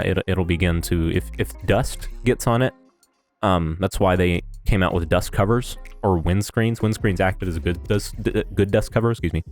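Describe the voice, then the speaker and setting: Deep-voiced man giving blunt rambling commentary. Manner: rambling, blunt, repeats himself. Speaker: audio critic YouTuber. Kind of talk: casual opinion commentary.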